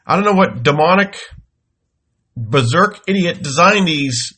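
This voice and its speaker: A man hosting his own show, telling a comic story in a slow, wry deadpan with exasperated outbursts while congested from a head cold. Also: a little high, slightly rough. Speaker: podcast host. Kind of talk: comic monologue. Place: home studio.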